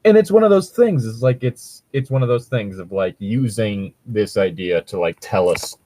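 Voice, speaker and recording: A man's voice: lowish voice, expressive, moderate pace, mellow, slight background noise. A man co-hosting a casual commentary chat, talking in a hesitant, filler-heavy and casually sweary way. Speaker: podcast co-host.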